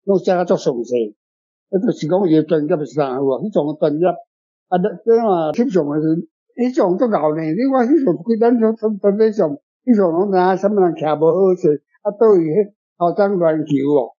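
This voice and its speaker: A man's voice: high-pitched, normal speed, dark tone. A man with a Taiwanese accent, explaining casually and conversationally as an author answering questions, often repeating himself. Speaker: author answering readers' questions about a story. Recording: dull lo-fi sound with music underneath.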